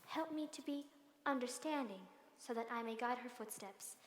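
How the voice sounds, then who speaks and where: An actress playing a young, devout governess who speaks warmly and earnestly, in classic film musical style. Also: female voice, fairly high pitch, moderate pace, slight background noise, lightly acted. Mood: prayerful. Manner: warm and earnest. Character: young woman governess, cheerful, devout, motherly. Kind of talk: 1960s film musical acting. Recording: roomy reverb, faint film score.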